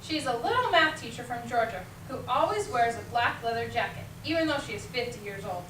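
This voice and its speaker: Bright-voiced woman narrating in echoey room. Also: fairly high pitch, lively intonation, fairly quick. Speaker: teacher, narrator. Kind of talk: scripted narration, reader's theater. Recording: echoey room, distant mic, faint noise.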